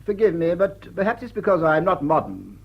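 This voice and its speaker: An actor playing a pompous, old-fashioned English lord with an upper-class accent and a formal, prim, hesitant manner in a period drama. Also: male voice, high voice, very animated, brisk, creaky, breathy edge, heavily acted. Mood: stiff, offended. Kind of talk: period drawing-room radio drama acting. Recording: dull, muffled audio.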